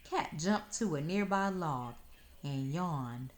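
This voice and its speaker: A woman narrates a children's storybook in a fairly low voice. Her reading is slow and expressive, with plenty of rise and fall to carry the tale.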